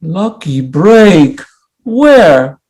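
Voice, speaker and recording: Guy acting naive proud immigrant man in a stage play, simple formal wording, real slow, voice goes up n down. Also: high voice, very animated, darkish tone, full character voice. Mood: puzzled, curious. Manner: formal, simple, non-native phrasing. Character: naive, hopeful immigrant drug courier, proud and stubborn. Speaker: stage actor. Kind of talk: dramatic play reading.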